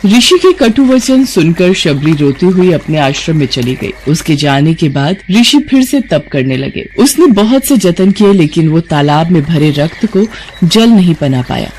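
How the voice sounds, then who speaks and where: A woman's voice: low voice, darkish tone, a little hiss or hum. A woman narrating a scripted devotional story.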